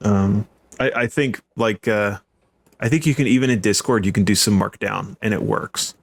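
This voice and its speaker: A man's voice: lowish voice, creaky. A man hosting a casual chat show, talking in an easygoing, jokey way. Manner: easygoing, jokey, quick to chuckle. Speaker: podcast host.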